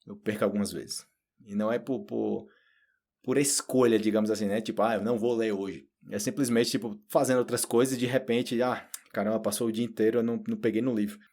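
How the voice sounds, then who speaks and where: A man with a Brazilian Portuguese accent giving a casual spoken Portuguese lesson, chatty and animated, often acting out quoted inner thoughts. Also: fairly low pitch, fairly quick. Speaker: Portuguese teacher.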